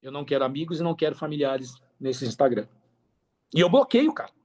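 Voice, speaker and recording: A man's voice: a little high, very animated, quick pace, a little mellow. A Brazilian man telling his story as a podcast guest in an expansive, slangy way, repeating himself for emphasis. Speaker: podcast guest, affiliate marketer. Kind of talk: casual interview storytelling.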